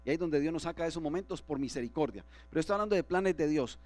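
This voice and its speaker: High-voiced preaching man. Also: lively intonation, racing, a little mellow. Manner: asks the congregation for agreement. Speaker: pastor. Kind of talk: sermon. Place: church.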